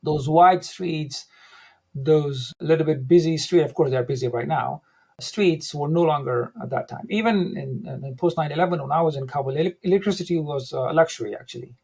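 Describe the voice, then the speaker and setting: A middle-aged man from Afghanistan, speaking as an interview guest, recalling his past in a measured, reflective way with frequent restarts. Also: fairly high pitch, creaky, darkish tone, slightly rough. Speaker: guest, academic center director. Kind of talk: interview, personal recollection.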